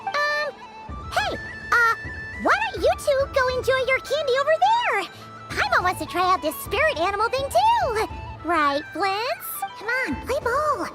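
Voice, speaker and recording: A woman voicing a tiny, chatty female companion in a video game, excitable and cheeky, who talks about herself in the third person. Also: unhurried, full character voice. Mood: scheming. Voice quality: high, squeaky, animated. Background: game soundtrack music.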